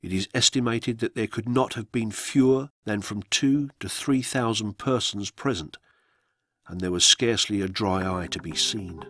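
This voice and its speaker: A man reading historical accounts as scripted radio narration, in a measured, solemn storytelling manner. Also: lowish voice, fairly bright tone, dry recording.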